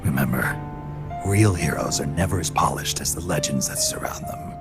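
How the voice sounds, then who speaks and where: An actor playing a gruff, stoic middle-aged soldier in a video game cutscene, terse and fatherly, with a husky growl. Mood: stern, resolute. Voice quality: deep, gravelly, husky growl. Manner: terse, measured, low-key delivery. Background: music.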